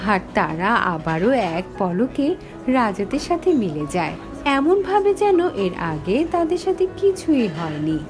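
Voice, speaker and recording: A woman's voice: medium pitch, big pitch swings, a little slow. A woman narrating a scripted horror story dramatically, in the first person as its heroine. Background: music.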